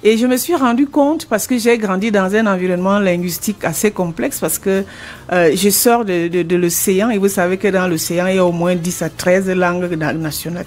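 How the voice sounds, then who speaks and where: A woman's voice: mid-range voice, a little mellow. Earnest older Cameroonian woman explaining. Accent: Cameroonian French. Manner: earnest, explanatory, with frequent restarts. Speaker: guest, retired language teacher and author. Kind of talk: interview answers.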